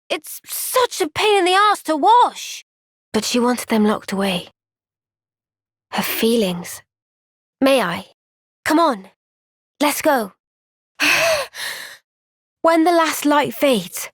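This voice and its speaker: An actress voicing a young woman soldier in a game cutscene, soft-spoken and caring. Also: a little high, no room sound, studio quality, exaggerated voice.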